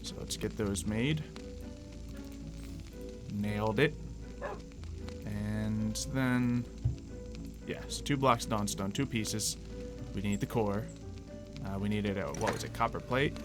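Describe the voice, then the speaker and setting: A man's voice: lowish voice, slow, pauses often, over music. A man doing casual gameplay commentary with some light roleplay, rambling and thinking aloud as he goes. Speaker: gaming video host.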